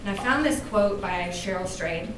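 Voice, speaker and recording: A woman's voice: mid-range voice, lively intonation, moderate pace. Woman guiding a workshop from afar. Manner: gentle, inviting, with fillers. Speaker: workshop speaker. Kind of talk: guided workshop talk with meditation. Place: room with a live audience. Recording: roomy, distant mic with room noise.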